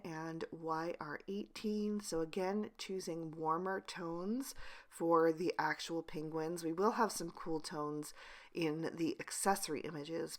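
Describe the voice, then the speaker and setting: Woman craft host, low-ish and hoarse, breathy near-whisper voice-over, steady tutorial delivery. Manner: pushes to speak as loudly as she can, steady instructional tone. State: hoarse, losing her voice. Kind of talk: voice-over narrating a card-coloring tutorial.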